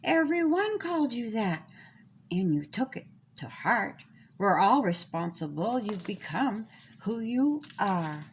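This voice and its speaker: A woman's voice: mid-range voice, big pitch swings, takes it slowly, a little hiss or hum, pitched below the performer's own voice. A woman voicing a small, earnest storybook child who reasons plainly with others. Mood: kind, forgiving.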